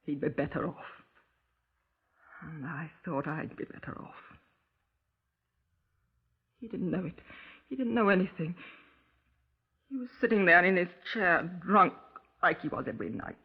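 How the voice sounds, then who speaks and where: An actress playing a distraught, self-pitying middle-aged wife making a halting, insistent confession in a 1950s radio crime drama. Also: female voice, lowish voice, big pitch swings, gravelly, breathy edge, heavily acted. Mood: weary, bitter. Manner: halting, brooding, insistent, with rhetorical tag questions. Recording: muffled, lo-fi 1950s radio recording.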